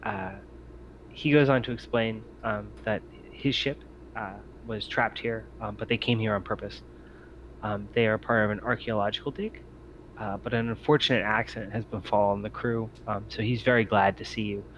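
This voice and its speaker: Halting male game master, dull audio. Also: fairly low pitch, gravelly, fairly bright tone. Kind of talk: improvised tabletop game narration. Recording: dull audio with faint noise.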